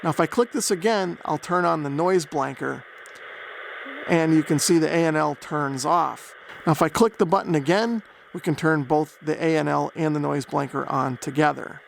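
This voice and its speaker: Higher-pitched man with a gravelly edge. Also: moderate pace, a little hiss or hum, rough recording. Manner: methodical, explanatory, step-by-step. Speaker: host of a radio gear review channel. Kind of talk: product demonstration narration.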